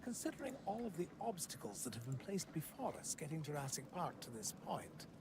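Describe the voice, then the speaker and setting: An actor playing an elderly, formal, commanding park founder in a video game. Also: male voice, on the high side, sing-song, a little fast. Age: older. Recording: game audio with clear background noise.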